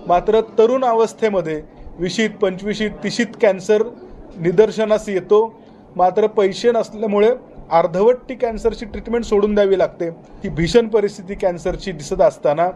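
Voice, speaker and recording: A man's voice: sits high, warm tone. A man with a Maharashtrian Marathi accent, a campaign leader, makes an earnest, rhetorical statement phrase by phrase. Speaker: patients' rights campaign leader. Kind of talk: spoken appeal in a recorded news statement. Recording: faint background noise.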